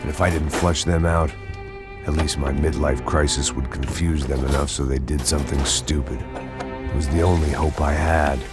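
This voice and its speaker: An actor playing a washed-up middle-aged American ex-cop, narrating in a weary, self-deprecating, hard-boiled noir manner. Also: male voice, a bass voice, fairly even intonation, normal speed. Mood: weary, bitter. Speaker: protagonist and narrator. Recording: loud music behind the voice.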